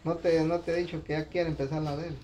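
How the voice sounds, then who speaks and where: A man's voice: on the high side, flat delivery, quick pace. A man with a Guatemalan accent hosting a casual vlog, talking into a phone outdoors on a building site. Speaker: vlog host. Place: open, roofless block-house building site outdoors. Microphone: handheld phone behind the camera. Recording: noisy outdoor phone recording.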